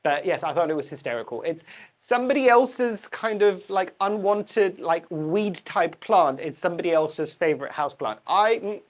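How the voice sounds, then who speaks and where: A woman's voice: lowish voice, animated, moderate pace. A woman with a stuffy, bunged-up voice from a cold, chatting casually and rambling a little as she shows houseplants at home. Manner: chatty, rambling, self-correcting with laughs. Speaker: houseplant channel host. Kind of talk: casual chat to camera. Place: indoor plant room at home.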